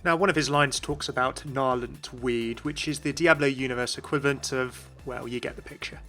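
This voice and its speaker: Bright-voiced man narrating a video. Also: mid-range voice, fairly even intonation, fairly quick. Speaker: video host. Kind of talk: scripted explanatory narration. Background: music.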